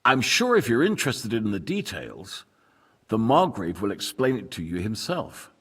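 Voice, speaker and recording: Husky, courteous medieval lord. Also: male voice, lowish voice, wildly swooping pitch, moderate pace, fairly bright tone, full character voice. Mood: guarded, diplomatic. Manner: formal, guarded, hesitant. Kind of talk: dramatic video game cutscene acting.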